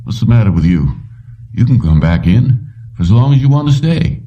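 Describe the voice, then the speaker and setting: A man's voice: fairly low pitch, very animated, moderate pace, voice pushed up. A man voicing an older male company boss in a story, strained and wary, on a dull recording. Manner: tense, pressed delivery. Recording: muffled, distant-sounding dull recording.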